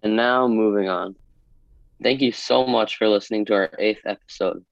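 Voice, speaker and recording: A man co-hosts a casual interview podcast. His voice is lowish and smooth, with little melodic movement. The recording is dry and a touch muffled. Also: normal speed, no echo. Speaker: podcast co-host.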